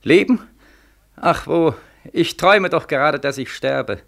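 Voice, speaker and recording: An actor in a 1940s radio play as a bitter, desperate young soldier, mocking and questioning insistently. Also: male voice, fairly high pitch, expressive, unhurried, gravelly, fairly bright tone, full character voice. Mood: dreamy, defiant.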